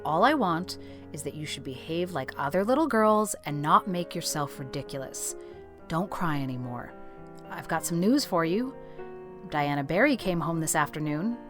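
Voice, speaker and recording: A woman playing a stern, practical older guardian in an audiobook drama, brisk, scolding and no-nonsense, yet caring underneath. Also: low-pitched, lively intonation, music in the background. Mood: brusque reassurance. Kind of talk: audiobook drama character acting.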